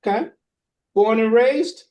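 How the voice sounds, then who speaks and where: A man's voice: high-pitched, warm tone. A man from hosting a podcast, opening with a rhymed spoken-word intro and then questioning his guests. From Boston. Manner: slow, rhythmic spoken-word delivery. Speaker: podcast host.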